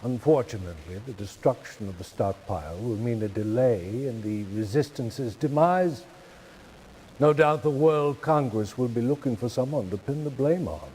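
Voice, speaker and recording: An older man playing a falsely polite, menacing corporate chairman in a video game, speaking in a measured, condescending way. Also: mid-range voice, animated, a little mellow, slight background noise, lightly acted, low-quality recording. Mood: falsely sympathetic. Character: smooth, menacing older male chairman of a ruthless consortium. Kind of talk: dramatic video game cutscene acting.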